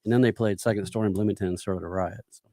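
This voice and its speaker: A man's voice: fairly low pitch, steady tone, racing, dark tone, dry recording. An American man chatting casually as a podcast guest, telling slangy anecdotes and chiming in. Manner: slangy, interjecting.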